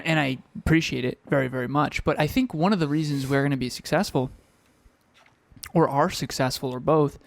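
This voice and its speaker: A man co-hosting a podcast in casual chat, talking reflectively and filling pauses with um, recorded at home with a fan running. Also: fairly high pitch, dry recording. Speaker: podcast co-host.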